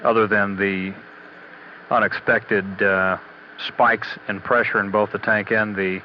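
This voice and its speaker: A man giving a halting, matter-of-fact technical status briefing as a spaceflight mission commentator, on old radio audio. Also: on the low side, little pitch movement. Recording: dull, noisy 1980s mission radio audio.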